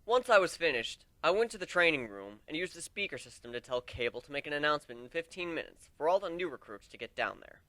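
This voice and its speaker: A man's voice: fairly high pitch, sing-song, fast talker, few pauses, bright, forceful tone, dry recording. A man narrating a first-person story as a dramatic reading.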